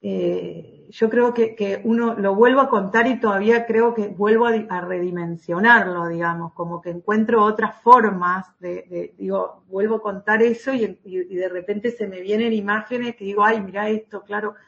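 Argentine woman with a creaky voice. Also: medium pitch, fairly even intonation, moderate pace, few pauses, lo-fi sound. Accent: Argentine Spanish. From Entre Ríos, Argentina. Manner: hesitant, many fillers and restarts. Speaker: lawyer for human rights office. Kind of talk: interview testimony.

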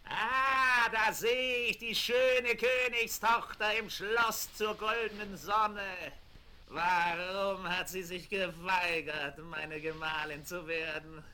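High, theatrical evil sorcerer. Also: male voice, flat delivery, slow, sharp tone, full character voice. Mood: gloating. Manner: theatrical, gloating and exclamatory. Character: vain, cackling evil male sorcerer losing his power. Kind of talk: 1970s German children's audio play acting. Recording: vinyl record rip with background noise.

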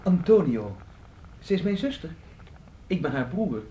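Lively, swooping young brother. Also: male voice, fairly high pitch, a little slow. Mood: fond, reassuring. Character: affectionate young brother, student home for summer holidays. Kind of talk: radio drama acting. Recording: noisy radio drama recording.

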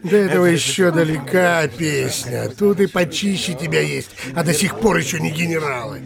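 An actor playing an envious, sly guest in an audio play, mocking and two-faced. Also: male voice, a little high, normal speed, gravelly. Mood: sneering aside. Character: envious, sardonic male guest muttering and flattering. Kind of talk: theatrical audio play acting. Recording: crowd murmuring behind the voice.

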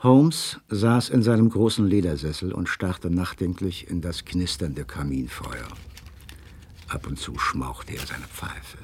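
Husky, good-natured detective's companion. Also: male voice, fairly low pitch, darkish tone, heavily acted. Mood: reflective narrating. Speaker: narrator and actor in a crime radio play. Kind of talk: German radio drama narration and dialogue.

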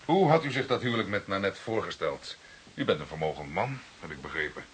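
A shrewd, confrontational junior detective in a radio play. The voice is low but very animated, pressing with pointed insinuations over a slightly hissy recording. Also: male voice, fairly quick. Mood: insinuating. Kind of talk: Dutch radio drama dialogue.

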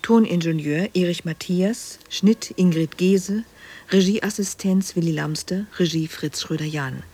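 A woman's voice: fairly low pitch, unhurried, warm tone, slightly rough. A woman radio announcer reading a formal scripted announcement.

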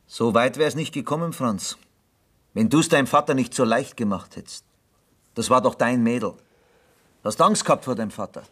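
Radio play: husky Bavarian village confidant, mid-pitched, questioning and gently reproachful. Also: male voice, normal speed, long pauses. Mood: probing. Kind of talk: German dialect radio drama acting.

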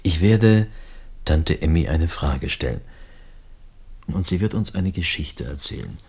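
An actor in a radio drama playing an earnest, measured filmmaker who talks about his family. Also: expressive, darkish tone, heavily acted. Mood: earnest, reflective. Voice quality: very deep, husky.

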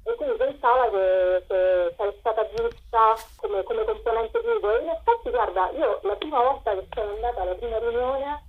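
High, husky woman on a thin line. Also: animated, normal speed, few pauses, phone-quality sound. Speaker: interview guest, writer and performer presenting her collective's show. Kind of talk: spontaneous interview talk. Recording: thin band-limited radio or phone sound.

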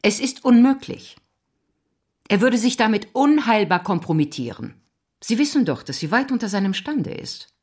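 An actress playing a proud, cold upper-class mother in a radio drama, formal and controlled, with a husky voice. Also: medium pitch, big pitch swings, moderate pace, darkish tone. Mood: cold refusal.

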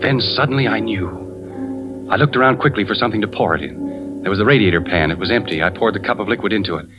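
A man's voice: lowish voice, fast talker, lightly acted. An American actor playing a suspicious, anguished husband, an insurance investigator who narrates his story in a tense 1940s radio drama style. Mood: brooding, tense. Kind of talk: 1940s radio drama acting, first-person suspense narration. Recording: dull 1940s radio recording with music.

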